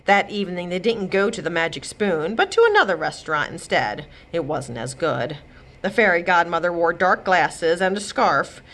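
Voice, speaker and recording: A woman narrating an early chapter book aloud in an expressive storytime style. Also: fairly low pitch, moderate pace. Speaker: children's library read-aloud reader.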